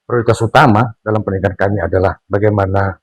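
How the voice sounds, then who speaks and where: Man in his sixties, Indonesian accent, low voice, dry close sound, earnest and preachy. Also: steady tone, no room sound. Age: 60s. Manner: earnest, preachy, pauses with fillers, rises emphatically on key points. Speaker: father being interviewed. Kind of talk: casual family conversation.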